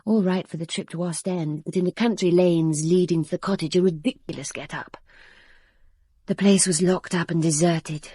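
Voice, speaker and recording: Guarded young woman in a radio drama, husky voice, very dry close sound, confessional and controlled. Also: on the low side, steady tone, normal speed, warm tone, no room sound. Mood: brooding, controlled. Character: young garage-working bride-to-be, guarded, suspicious, narrating her betrayal.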